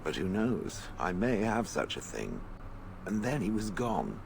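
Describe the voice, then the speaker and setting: An actor playing a sardonic stone golem from a fantasy video game, haughty and contemptuous of people. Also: male voice, on the low side, big pitch swings, vocal fry, background noise, heavily acted. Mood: bitter, dry. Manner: haughty, sardonic, deliberate phrasing.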